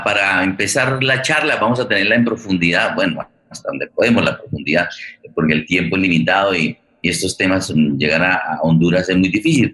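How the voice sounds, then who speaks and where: A man's voice: deep voice, sharp tone, rough recording. A Colombian man hosting a live interview, effusive and courteous, repeating words for emphasis. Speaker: host of a radio theatre program.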